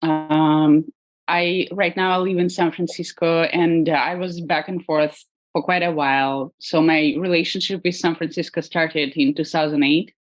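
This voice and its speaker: A woman with a Russian accent, speaking as an artist guest in a casual interview, hesitant with frequent pauses and fillers. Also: low-pitched, steady tone, low-quality recording. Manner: hesitant, many ums and uhs, laughs lightly.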